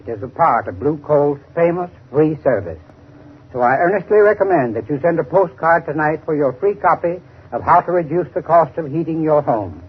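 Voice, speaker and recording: Husky 1930s radio spokesman, tinny. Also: fairly high pitch, lively intonation, moderate pace. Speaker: sponsor's spokesman. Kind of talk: formal scripted 1930s radio advertising.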